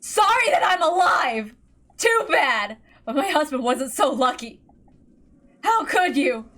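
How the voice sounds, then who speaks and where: Lady doing a bereaved big sister in a mystery story, raging and tearful, pitched up, accusing. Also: sing-song, slow, fairly bright tone, exaggerated voice. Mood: anguished fury. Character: grieving, enraged older sister holding a gun.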